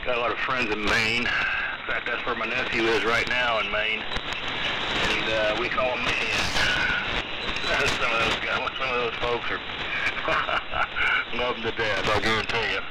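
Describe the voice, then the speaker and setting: Casting: male radio ham from Michigan, casual chat, AM transmission full of loud noise. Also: fairly low pitch, flat delivery, unhurried, long pauses. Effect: AM shortwave radio transmission. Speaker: amateur radio operator. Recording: very noisy AM radio reception.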